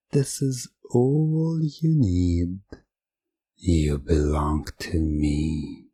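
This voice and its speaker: Deep, slow, husky hypnotist man. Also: no room sound. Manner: soft, commanding, repetitive hypnotic suggestion. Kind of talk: hypnosis ASMR roleplay.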